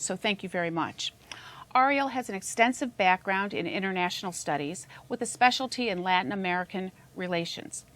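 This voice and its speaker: A woman's voice: medium pitch, a bit bright. A woman with a mid-range voice hosts a public affairs program in an upbeat manner. She reads polished introductions and then asks her guest polite, open questions. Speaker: host. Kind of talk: public affairs talk show hosting.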